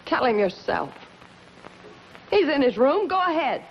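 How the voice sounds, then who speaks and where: An actress playing a bitter, desperate gangster's moll with a sardonic, clipped, dramatic delivery in a 1950s television crime melodrama. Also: female voice, high-pitched, big pitch swings, measured pace, heavily acted. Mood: taunting. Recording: muffled, noisy 1950s television soundtrack.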